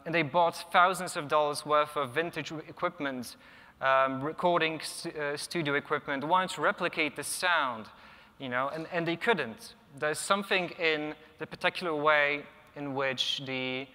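Young male speaker, Polish native, bright voice, lectern mic, long echo of a big hall, hesitant and thoughtful. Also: on the high side. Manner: thoughtful, many ums and restarts. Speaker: conference speaker. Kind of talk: technical conference talk. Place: large conference hall, at a lectern. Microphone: lectern microphone.

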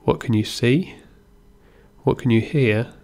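A man gently guiding a meditation as an instructor, in an unhurried way with pauses between instructions. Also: medium pitch, gravelly. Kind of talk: guided body scan meditation narration.

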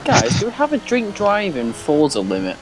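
A man's voice: a little high, huge pitch swings, moderate pace, low-quality recording. A man joking casually with friends over voice chat in an online racing game. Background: music and engine noise.

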